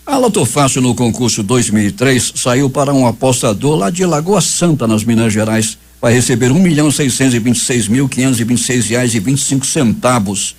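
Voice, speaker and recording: A man's voice: medium pitch, lively intonation, fairly quick, hardly any pauses, darkish tone. A Brazilian man reading scripted news and sports items as a radio presenter in a studio.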